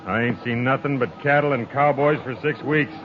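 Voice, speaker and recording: A man's voice: mid-range voice, steady tone, moderate pace, noisy background, close to an everyday voice. Folksy, stubborn trail boss, acted by a man, muffled radio. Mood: wary, firm. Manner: plain-spoken, unbudging. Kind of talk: 1950s radio western acting. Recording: muffled old radio, loud outdoor effects.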